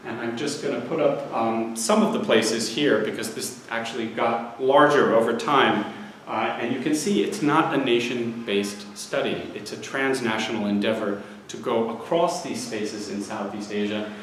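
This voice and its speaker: A man in his forties giving an academic history lecture, measured and explanatory, steadily listing places. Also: medium pitch, slight background noise. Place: lecture hall. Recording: very echoey hall with faint ambience.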